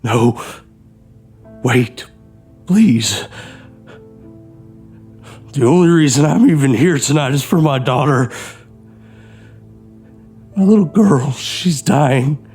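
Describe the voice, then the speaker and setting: Slow-talking desperate robber played by a man. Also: medium pitch, animated, a little mellow, faint music behind. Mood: desperate pleading. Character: desperate trapped male robber, devoted father, defiant then pleading. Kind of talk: horror audio drama acting.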